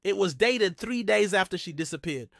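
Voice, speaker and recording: Higher-pitched man telling a mystery story. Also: lively intonation, moderate pace, gravelly, a bit bright, dry recording, clean studio sound. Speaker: host of a mystery storytelling channel. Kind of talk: conversational true-mystery storytelling.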